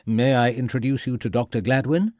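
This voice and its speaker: A man's voice: mid-range voice, brisk, a little mellow, no room sound, clean studio sound, lightly acted. A man doing a testy schoolmaster. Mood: irritated. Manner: curt, testy. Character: irritable schoolmaster, middle-aged.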